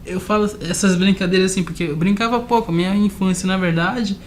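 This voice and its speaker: A man's voice: high voice, fairly even intonation, a little fast. A man telling personal stories casually as an interview guest, often checking that the listener follows. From grew up between southern Brazil and Argentina. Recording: clear background noise.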